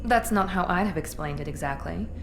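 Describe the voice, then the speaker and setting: A woman's voice: lowish voice, brisk, gravelly, reverberant, background noise. A woman playing a cool, composed covert operative in a video game, clinical and detached. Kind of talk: video game cutscene acting.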